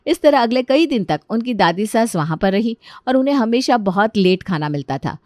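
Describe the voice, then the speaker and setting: A woman's voice: a little high, expressive, normal speed, darkish tone, no room sound, clean studio sound. A woman narrator reading a scripted story.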